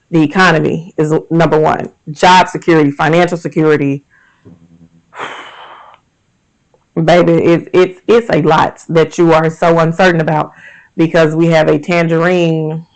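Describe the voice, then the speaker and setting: A woman's voice: on the low side, rough recording. A woman hosting a podcast, talking candidly and emphatically straight to her listeners about faith and everyday pressures. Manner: candid, emphatic, conversational, addresses listeners directly. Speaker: podcast host. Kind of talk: candid faith and wellness monologue.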